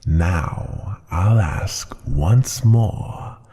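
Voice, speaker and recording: A man's voice: extremely slow, mellow. A man voicing an ancient, tyrannical dragon ruler who scorns humans, speaking in a pompous, menacing growl for an ASMR roleplay. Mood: boastful, menacing. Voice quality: deep gravelly growl, breathy at times. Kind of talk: ASMR roleplay, slow theatrical monologue.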